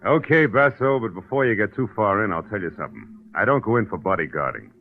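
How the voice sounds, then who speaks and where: An actor playing a tough, wry private detective in a vintage radio drama, terse and hard-boiled in narration and dialogue. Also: male voice, lowish voice, lively intonation, fast talker, a little hiss or hum. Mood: cool, terse. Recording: thin, phone-like vintage radio recording. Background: dramatic music.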